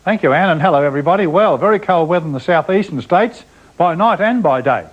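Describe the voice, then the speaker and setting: Brisk Australian weatherman on old TV. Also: a little high, lively intonation. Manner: brisk, chatty, pointing at charts. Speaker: TV weather presenter. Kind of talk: semi-scripted weather report. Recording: dull, lo-fi TV broadcast sound.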